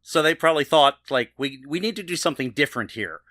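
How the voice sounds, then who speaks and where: A man co-hosts a casual television podcast, starting phrases haltingly with repeated words. His pitch moves around a lot and his tone is bright. The sound is close and dry. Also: expressive, dry recording. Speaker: podcast co-host. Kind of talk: casual chat about a TV show.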